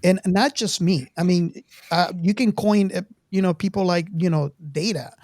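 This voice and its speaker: A woman in her fifties hosting a casual film discussion, speaking haltingly and often repeating words as she goes. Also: on the low side, a little mellow, dry recording. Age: 50s. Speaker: podcast host.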